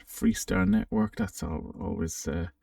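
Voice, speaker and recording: A man giving a casual, unscripted software walkthrough, thinking aloud with some hesitation as he presents. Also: deep voice, measured pace, creaky, studio quality. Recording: roomy, slightly distant microphone.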